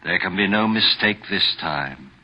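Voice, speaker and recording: An actor playing a tormented man who confesses to murder, in slow, deliberate, melodramatic 1940s radio horror style. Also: sits low, expressive, a crowd in the background. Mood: weary, haunted. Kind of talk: 1940s radio horror acting, first-person narration. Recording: muffled vintage 1940s radio recording.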